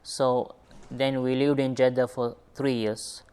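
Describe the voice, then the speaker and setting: A young man who grew up in Sri Lanka, telling his own story in an interview in an unhurried way, often searching for his words. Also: medium pitch, level tone, a little mellow, clear, resonant tone. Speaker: former student interviewee. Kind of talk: reflective personal interview.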